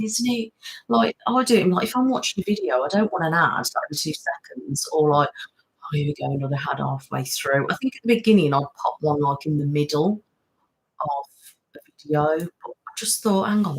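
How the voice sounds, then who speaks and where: A woman's voice: sits low, low-quality recording. A middle-aged British woman, an ASMR channel host, chatting casually on a live stream and answering viewers, rambling with many fillers. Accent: British English.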